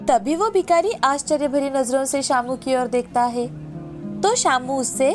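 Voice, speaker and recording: Woman narrator for moral stories, notably high voice, scripted delivery over faint music. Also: unhurried. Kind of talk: scripted children's-tale narration.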